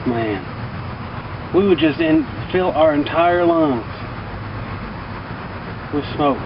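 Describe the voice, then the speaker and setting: A man's voice: a little high, wildly swooping pitch, slow, creaky. A man casually telling a rambling personal story in a folksy, colloquial, emphatic way. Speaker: vlogger telling personal stories. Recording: distant mic, muffled, loud outdoor traffic-like noise.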